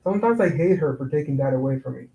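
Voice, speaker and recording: A man's voice: a little high, lively intonation, fast talker, vocal fry, mellow, muffled recording. A man playing an evasive, easygoing young construction worker in a staged play reading, hesitant and casual, in an echoing room. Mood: wistful. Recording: roomy, echoing sound.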